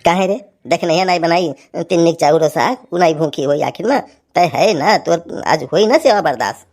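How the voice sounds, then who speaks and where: A woman's voice: fairly low pitch, animated, normal speed, a little mellow. A woman voicing a harried village husband in a cartoon comedy, rambling and grumbling with an Awadhi accent. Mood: complaining.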